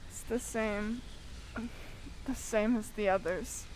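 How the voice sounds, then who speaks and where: A woman playing a nervous, excitable young-sounding male helper who scares easily, in an old-time radio drama. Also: medium pitch, slow, slight background noise, natural voice, poor audio. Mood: shaken. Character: jittery, frightened male hall helper.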